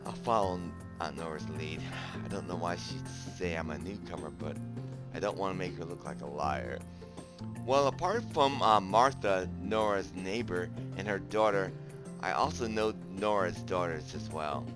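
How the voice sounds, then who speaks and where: A man's voice: low-pitched, normal speed, music in the background, lightly acted. A man playing an easygoing, bashful young protagonist in a visual novel game, talking casually and hesitantly. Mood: musing. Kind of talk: visual novel game voice acting with inner narration.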